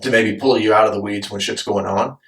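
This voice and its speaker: A man hosting a podcast as a business coach, his voice hoarse and raspy, talking candidly and swearing freely. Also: fairly low pitch, steady tone, a little fast, reverberant, distant mic. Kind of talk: casual podcast conversation. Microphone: studio microphone.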